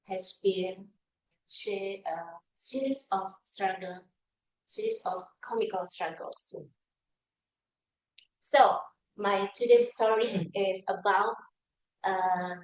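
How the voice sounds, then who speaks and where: A woman's voice: mid-range voice, distant mic. A middle-aged woman from Indonesia giving a prepared humorous speech at a speaking club, telling stories about herself. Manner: storytelling with self-deprecating humor. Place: meeting room. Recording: echoey room, voice from afar.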